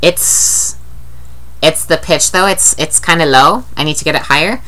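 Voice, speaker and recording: A woman's voice: sits low, moderate pace, sharp tone. A woman with a nasal voice, talking in a rambling, candid video diary and swearing casually. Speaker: vlogger.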